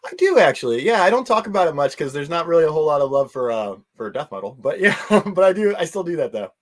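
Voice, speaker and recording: A man's voice: on the high side, very animated, fast talker. A middle-aged man chatting casually as an interview guest, easygoing and meandering in how he talks. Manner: easygoing, meandering, chuckles often. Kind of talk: casual chat.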